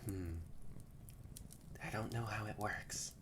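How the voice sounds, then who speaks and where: A woman voicing a haughty young male catboy who is secretly soft-hearted, bratty and bossy but easily flustered, in a scripted roleplay audio drama spoken to the listener. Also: a bass voice, steady tone, slow, background noise. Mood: haughty, curious.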